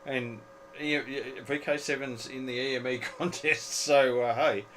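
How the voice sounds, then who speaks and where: A man hosts an informal technical show-and-tell, often doubling up his phrases. His voice sits at a middling pitch, with some background noise around him. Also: fairly even intonation, a little slow, fairly bright tone. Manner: repeats words and phrases. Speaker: host of an amateur radio club presentation.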